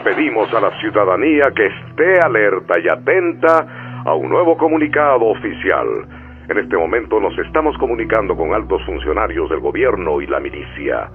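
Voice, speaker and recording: Over a tinny, narrow radio signal with music behind, a man acts as a grave newsman in a radio drama. He declaims an urgent invasion bulletin solemnly, his pitch swinging dramatically. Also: lively intonation, normal speed, close to an everyday voice. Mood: official, urgent.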